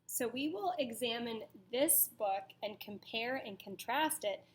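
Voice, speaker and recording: A woman teacher reading a picture book aloud to children in a deliberate, dramatic way, pausing to ask them questions. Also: a little high, lively intonation, slight background noise. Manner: deliberate, dramatic storytelling delivery.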